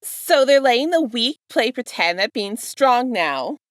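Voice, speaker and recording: A woman's voice: a little high, very animated, measured pace, no echo, pitched above the performer's own voice. A menacing male villain from a fan story, acted out by a woman narrator. The taunts are mocking and stretched out. The recording is dry and free of room sound. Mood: mocking menace.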